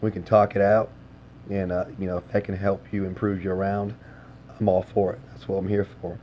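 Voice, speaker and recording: A hoarse man chats easily with viewers on his livestream, his voice sitting low and dark. He talks in a relaxed, unhurried way, scattering filler words between his thoughts. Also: slight background noise. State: hoarse, lost voice. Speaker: game streamer. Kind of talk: casual livestream chat with viewers.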